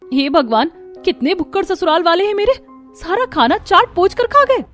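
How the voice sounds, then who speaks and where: A woman's voice: very high-pitched, big pitch swings, normal speed, a bit bright, faint music behind, pitched above the performer's own voice, exaggerated voice. A woman voicing a harried, resentful young daughter-in-law in a family cartoon, with an exasperated, complaining manner. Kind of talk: Hindi family cartoon dubbing.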